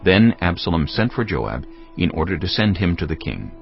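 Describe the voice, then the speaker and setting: A man narrating scripture from a script in a measured storytelling manner. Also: low-pitched, big pitch swings, vocal fry. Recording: slightly muffled, music underneath. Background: background music.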